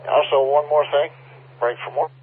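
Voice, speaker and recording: A man's voice: fairly high pitch, steady tone, moderate pace, a little hiss or hum. A man making an informal announcement over an amateur radio link, explaining things in a conciliatory way. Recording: muffled phone-like amateur radio transmission.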